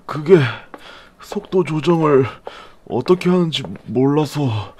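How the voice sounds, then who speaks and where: A man plays a timid, exhausted older man who speaks hesitantly, with a whining edge. He speaks slowly, and his pitch rises and falls a lot. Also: lively intonation, a little mellow, slight background noise. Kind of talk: amateur audio drama acting.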